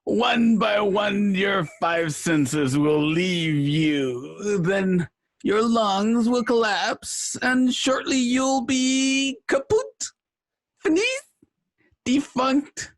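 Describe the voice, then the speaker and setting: An actor playing a flamboyant, cackling villain clown who is gleefully cruel, speaking theatrically in an amateur radio drama. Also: male voice, high-pitched, expressive, drawn-out words, exaggerated voice. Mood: gloating. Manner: theatrical, frequent maniacal laughter.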